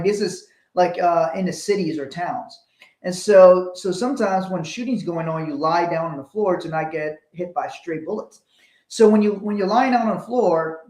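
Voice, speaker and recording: A man from Liberia co-hosting a motivational talk, his voice sitting slightly high for a man. He speaks earnestly and often restarts, repeating a word before he goes on. The room gives his voice a noticeable echo. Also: darkish tone. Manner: earnest, repeats words when starting sentences. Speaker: co-host. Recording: echoey room.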